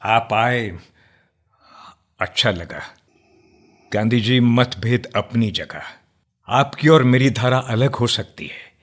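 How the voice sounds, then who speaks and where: An actor in a radio play playing an earnest, outspoken nationalist man who debates firmly but respectfully, in deliberate, declamatory phrases. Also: mid-range voice. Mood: respectful, candid.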